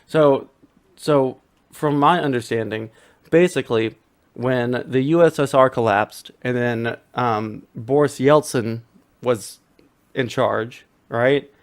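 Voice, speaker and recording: Brief: mid-pitched man, relaxed questioning debater, restarts often. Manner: casual, questioning, quick restarts. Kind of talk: online voice-call debate.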